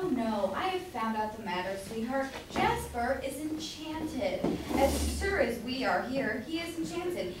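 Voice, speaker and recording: A woman's voice: on the high side, close to an everyday voice. A woman playing a blustering, bossy London grocer and husband in an amateur staged reading of a Jacobean comedy. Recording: distant echoey room, background hiss.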